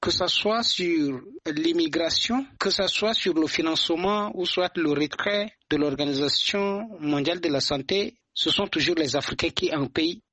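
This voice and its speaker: A man from Chad giving his view on a radio program, measured and hesitant with frequent fillers. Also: on the high side, bright, forceful tone. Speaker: radio commentator.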